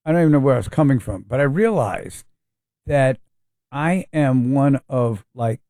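A man's voice: medium pitch, animated, moderate pace, mellow, dry recording, studio quality. A man hosting a talk radio show and telling stories. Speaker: radio host. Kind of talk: casual talk radio chat.

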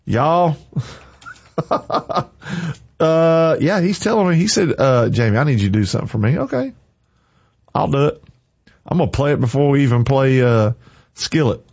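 A man's voice: medium pitch, expressive, normal speed, creaky, long pauses, a little mellow, husky, no echo. Fervent radio preacher man. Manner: fervent, preachy, repeats short commands. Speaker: morning show radio host. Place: radio studio. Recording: dry, slightly muffled studio.

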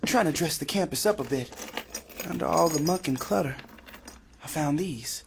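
A man's voice: fairly high pitch, lively intonation, moderate pace, gravelly. A man acting in an audio drama as a respectful, earnest campus worker, speaking deferentially over faint background noise. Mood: uneasy, respectful.

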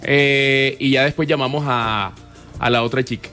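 A man with a Colombian accent, hosting a casual live radio show in an excitable, exclamatory way. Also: mid-range voice, normal speed, faint music behind. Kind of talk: casual live radio banter with prank-style birthday calls.

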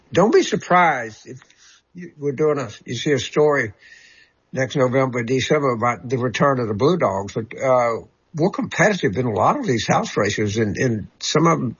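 A male political commentator answers callers with a husky voice. He halts often and repeats words as he searches for what to say. The sound is a little dull and muffled. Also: medium pitch. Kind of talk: call-in talk show guest.

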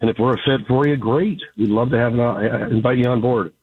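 Raspy man on a tinny phone line. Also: medium pitch, fairly quick, no room sound. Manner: relaxed, grateful, hesitant with many fillers. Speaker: company founder, radio guest. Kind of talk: casual radio interview.

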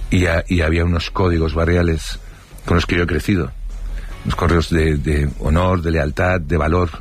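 A man's voice: low-pitched, little pitch movement, normal speed, husky, soft background music. An older man from Spain with a Spanish accent, telling rambling childhood anecdotes in a casual interview, full of fillers and restarts.

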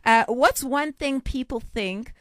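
A woman's voice: high voice, takes it slowly, a bit bright, no echo. A young woman, hosting a casual radio chat in a studio, bubbly and chatty. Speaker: radio host. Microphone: studio mic.

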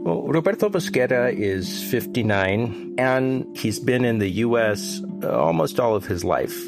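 Gravelly-voiced male reporter explaining. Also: medium pitch, animated, measured pace, music in the background. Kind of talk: conversational news podcast explanation.

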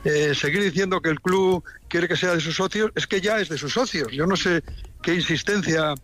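Guy on a podcast, voice a bit high, giving his opinion carefully with pauses. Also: a bit bright. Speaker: former club president, podcast guest. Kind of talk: measured spoken opinion.

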